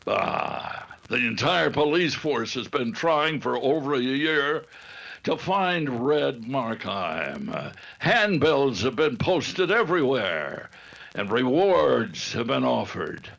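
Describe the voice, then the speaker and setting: A man acting as a vengeful, boastful outlaw boss from a radio adventure. He speaks slowly and haltingly, with a creaky rasp at an average male pitch. He sounds deliberate and scheming. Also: very animated, fairly bright tone, highs cut off, full character voice. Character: scheming, boastful, vengeful gang leader posing as grandpa.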